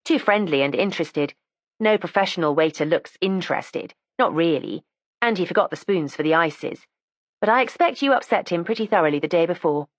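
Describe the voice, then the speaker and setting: A woman's voice: lowish voice, animated, a little fast, no echo, lightly acted. A woman reading the part of a precocious, matter-of-fact teenage schoolgirl who chats candidly and primly. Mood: chatty. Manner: chatty, candid and prim.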